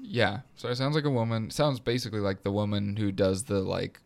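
A man's voice: lowish voice, steady tone, brisk, creaky, a little mellow. A man in a casual film discussion, conversational and loosely thinking aloud as a co-host.

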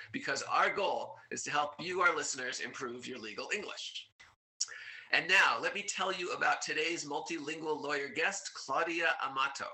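A man's voice: fairly high pitch, gravelly, bright, forceful tone, poor audio. A man hosting a legal English podcast, reading a scripted intro and then chatting casually with his guest in an upbeat, teacherly way. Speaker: podcast host.

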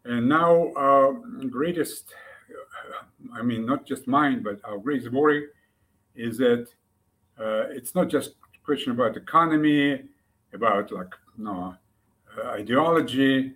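An older man from Ukraine with an Eastern European accent, answering interview questions reflectively and haltingly, with long pauses. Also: mid-range voice, a bit bright. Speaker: interview guest, commentator.

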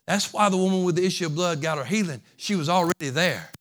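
A man preaching a sermon in a slightly high voice. He talks in a folksy, repetitive way, moving from soft conversational stretches into loud, fervent preaching. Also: fast. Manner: folksy, repeats phrases. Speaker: pastor.

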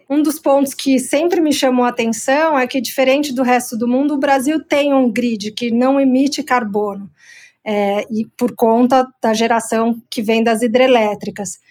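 A woman with a Brazilian accent speaks in a slightly high voice. She co-hosts a podcast, putting questions to a guest in casual, conversational interview style. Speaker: podcast co-host.

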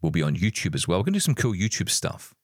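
A deep-voiced man co-hosts a podcast in relaxed, rambling chat. His voice swings freely up and down as he talks, upbeat and easygoing. The sound is close and dry, with no room around it. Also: racing, no echo. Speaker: podcast co-host.

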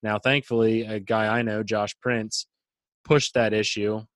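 A man's voice: lowish voice, level tone, unhurried, a bit bright, dry recording. A man from Pennsylvania in casual conversation, blunt and opinionated. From Pennsylvania, USA.

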